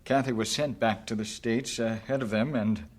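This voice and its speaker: An actor as a concerned, thoughtful mission official. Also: male voice, on the low side, fairly even intonation, normal speed, natural voice. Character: concerned, respectful male mission colleague. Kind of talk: old-fashioned radio drama acting.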